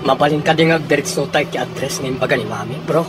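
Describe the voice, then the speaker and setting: An actor playing a concerned, determined grown son in a radio drama, with an Ilocano accent. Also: male voice, medium pitch, fast, loud music behind the voice, natural voice. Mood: worried, determined. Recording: clear vehicle noise behind voice.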